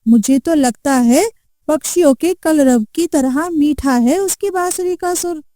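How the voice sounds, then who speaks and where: A woman's voice: high-pitched, a little slow, dark tone, no room sound, studio quality. A woman playing a superstitious, foreboding village woman in a cartoon moral story, with a dramatic, insistent manner. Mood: admiring. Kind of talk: Hindi animated moral story acting.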